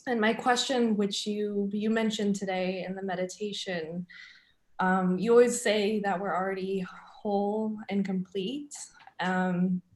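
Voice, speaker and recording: Woman from Los Angeles, mid-range voice, echoey room, halting and emotional video-call question. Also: medium pitch, steady tone, a little mellow. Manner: hesitant, emotional, many ums. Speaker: caller.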